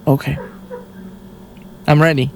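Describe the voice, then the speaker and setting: An actress playing a defiant, deluded condemned killer and artist in an audio drama, theatrical and flippant. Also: female voice, deep voice, slight background noise, full character voice. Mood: proud.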